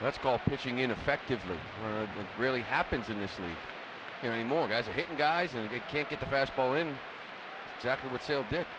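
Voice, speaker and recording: A man's voice: medium pitch, quick pace, noisy background. A man working as a baseball color analyst on a live radio broadcast, conversational and full of opinions. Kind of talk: live sports color commentary. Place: stadium broadcast booth.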